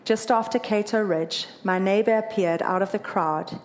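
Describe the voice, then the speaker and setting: A woman's voice: medium pitch, normal speed. South African woman telling a heartfelt story. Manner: earnest, emotional, lively when quoting others. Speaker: marathon runner telling her story. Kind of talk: personal storytelling speech.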